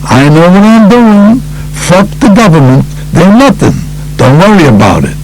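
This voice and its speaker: A cocky New York mob boss, played by a male audiobook reader, speaks with brash command. His delivery is dismissive and swings widely in pitch, as though every worry is beneath him. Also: big pitch swings, moderate pace, darkish tone, slight background noise, poor audio. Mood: defiant, irritated. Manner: brash, commanding, brushing off worries.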